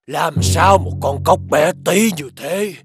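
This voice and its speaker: Gravelly, cowardly big tiger. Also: male voice, on the high side, slow pace. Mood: suspicious, blustering. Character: big male tiger, self-styled jungle lord, cowardly. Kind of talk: children's cartoon dubbing. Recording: music behind the voice.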